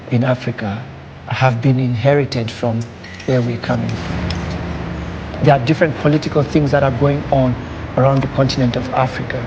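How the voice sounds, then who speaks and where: Gravelly man, measured talk. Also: mid-range voice, highs cut off, background noise. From Zambia. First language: Lugala. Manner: thoughtful, measured, with pauses and fillers. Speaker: artist. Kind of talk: documentary interview. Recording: interview with loud music behind.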